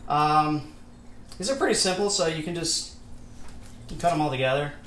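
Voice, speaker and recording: Higher-voiced man chatting in an echoey kitchen. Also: normal speed, fairly bright tone. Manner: informal, sweary, reads viewer comments aloud. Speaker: host of a cooking livestream. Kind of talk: casual livestream chat while cooking. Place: home kitchen, indoors. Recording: roomy kitchen, clear background noise.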